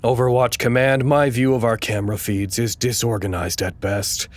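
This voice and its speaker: A man playing an earnest, anxious research-site staffer in an audio drama, with a measured, hesitant delivery. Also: mid-range voice, steady tone, noisy background, close to an everyday voice. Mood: sober, weary reporting. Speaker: actor in an audio drama podcast. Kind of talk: naturalistic audio drama acting, log narration and dialogue.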